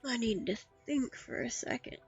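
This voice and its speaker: A woman with a husky voice giving casual livestream commentary as she plays a game. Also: fairly high pitch, moderate pace. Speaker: game streamer. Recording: dull microphone sound, background music.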